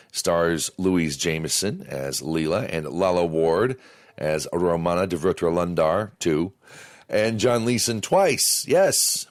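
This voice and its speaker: A man reading a scripted review as a fan, in a measured way. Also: deep voice, dry recording, studio quality. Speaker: audio drama reviewer.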